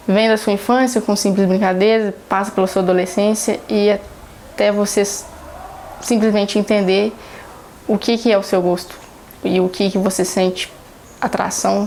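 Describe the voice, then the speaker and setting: Calm flat-voiced young woman. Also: mid-range voice, slight background noise. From Minas Gerais, Brazil. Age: 20s. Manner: calm, measured, halting phrases. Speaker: documentary interviewee. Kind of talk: reflective documentary interview.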